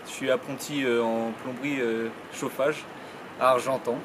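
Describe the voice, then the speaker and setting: An eighteen-year-old plumbing apprentice speaks in an interview. He is relaxed and hesitant, with small pauses. Steady noise and air conditioning hum behind him. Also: mid-range voice.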